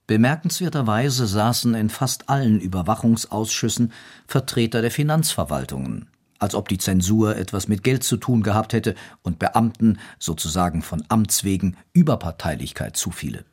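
A man's voice: fairly low pitch, animated, normal speed, darkish tone, husky. A man reading scripted, essay-like historical commentary for a documentary. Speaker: documentary reader.

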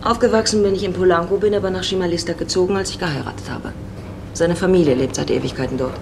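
A woman's voice: fairly low pitch, fast talker, vocal fry, background noise. An actress playing a worldly, flirtatious married woman in her forties, sardonic and self-assured, in a radio drama. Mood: teasing, flirtatious. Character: worldly, teasing 45-year-old married Mexican woman.

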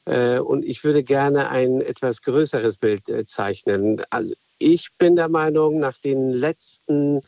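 A man from Afghanistan calling in to a radio show, earnest and reflective, often pausing to find his words. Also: mid-range voice, creaky, dark tone, dry recording. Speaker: caller. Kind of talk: call-in radio comment. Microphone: telephone. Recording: dull phone line.

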